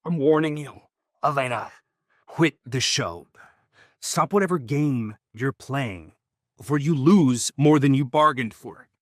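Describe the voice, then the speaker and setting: Male actor as arrogant ex-husband, angry, contemptuous, threatening, mid-pitched, audio drama, dry studio sound. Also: unhurried, no room sound, close to an everyday voice. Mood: threatening, scornful. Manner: menacing, scornful.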